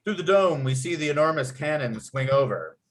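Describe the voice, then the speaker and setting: A man's voice: on the high side, animated, fairly quick. A man acting as narrator at a table read, reading out script and stage directions.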